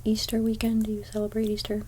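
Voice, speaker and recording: A young woman with a stuffy nose giving an ASMR haircut role play as a hairstylist. She speaks softly, often slips into whispers, and makes gentle salon small talk. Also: mid-range voice, monotone, fast, slight background noise. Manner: soft-spoken, drifting into whispers.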